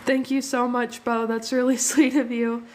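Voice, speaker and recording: A woman's voice: on the high side, little pitch movement, moderate pace, darkish tone. Sniffly young woman chatting while she draws. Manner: chatty, thanks viewers, laughs easily. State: slightly sniffly. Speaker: art livestream host. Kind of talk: casual live drawing chat.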